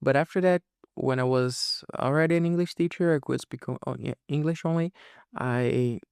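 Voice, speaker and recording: A man's voice: medium pitch, moderate pace, creaky, darkish tone, clean tone, dry recording, clean studio sound. A man whose first language is Portuguese, hosting a casual solo podcast and explaining things informally to listeners, often checking that they follow. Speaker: podcast host and English teacher.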